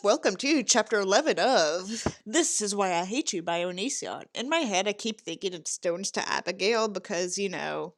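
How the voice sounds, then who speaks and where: A woman doing a sarcastic dramatic reading, with theatrical, mocking delivery and sweary commentary. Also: mid-range voice. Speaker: host reading a book aloud with asides.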